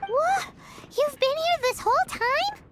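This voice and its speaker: A woman voicing an excitable, childlike little fairy companion in a video game, squeaky and exclamatory. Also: a little slow, background noise. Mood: baffled. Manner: exclamatory, refers to self in third person. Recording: loud music behind.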